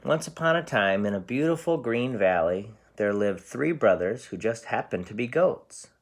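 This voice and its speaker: A man's voice: medium pitch, lively intonation, moderate pace. A man reading a storybook aloud as a teacher, explaining things simply for young children.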